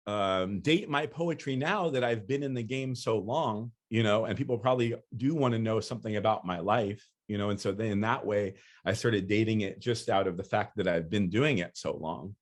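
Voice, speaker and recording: A man with a very low voice speaks easily and unhurriedly. He pads his answers with "you know" and the odd laugh, and he sounds like he is thinking out loud. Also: normal speed. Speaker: featured speaker, poet. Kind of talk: casual talk and Q&A.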